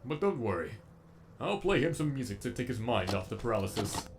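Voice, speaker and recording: A man's voice: medium pitch, very animated, a little fast, gravelly, noisy background. A man voicing a sadistic, erudite robot villain with an eloquent, menacingly polite delivery, as in a comic dub. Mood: mocking, menacing.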